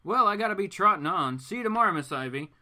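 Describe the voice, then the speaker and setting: A man's voice: sits high, lively intonation, quick pace, pushed and bright, pitched above the performer's own voice, exaggerated voice. A man playing a folksy, boastful rich father from a comic book, chatty and informal. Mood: jovial, boastful.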